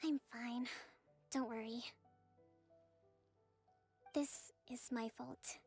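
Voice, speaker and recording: A woman's voice: on the high side, fairly even intonation, drawn-out words, darkish tone, husky, soft background music, full character voice. A woman voicing a young female priestess and strategist in a video game, composed and reassuring. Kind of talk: game dialogue.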